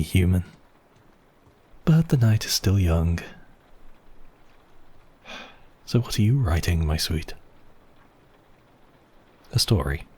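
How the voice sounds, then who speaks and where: A man playing a seductive, possessive vampire count in an ASMR roleplay, speaking slowly and teasingly in a husky, breathy voice with whispered phrases. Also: sits low, a little mellow, heavily acted. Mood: amused, intrigued. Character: seductive, possessive male vampire count toying with his captive.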